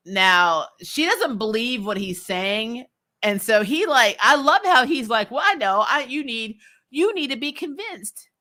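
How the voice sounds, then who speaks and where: Bright-voiced woman chatting through a recap. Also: a little high, animated, unhurried. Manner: informal and opinionated, with mild swearing and wry asides. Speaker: host of a TV recap show. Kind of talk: casual chatty episode review commentary.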